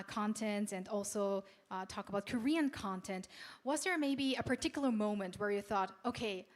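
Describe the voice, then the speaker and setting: A woman hosting a live ceremony talk show, with a polished, upbeat emcee delivery. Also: mid-range voice. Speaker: event host and talk show moderator.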